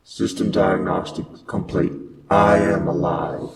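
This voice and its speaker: A man voicing a cold, emotionless spaceship computer in flat, deliberate announcements, through a pitched-down robot effect with echo. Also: very noisy. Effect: pitched-down robot effect with echo or reverb.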